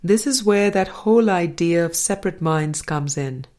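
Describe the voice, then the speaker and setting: A woman reading a spiritual book aloud and commenting on it, in a measured, deliberate way with soft, trailing endings. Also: fairly low pitch, expressive.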